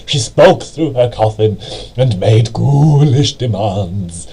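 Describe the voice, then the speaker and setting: A man reading a poem as dramatic narration, with a theatrical, deliberate and brooding delivery. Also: medium pitch, animated, gravelly, mellow, breathy edge. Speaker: amateur reader.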